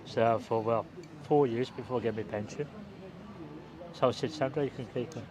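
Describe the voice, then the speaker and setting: A man's voice: mid-range voice, normal speed, darkish tone. A man in his sixties, a friend of the hosts, chatting plainly and briefly outdoors. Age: 60s. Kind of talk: casual chat.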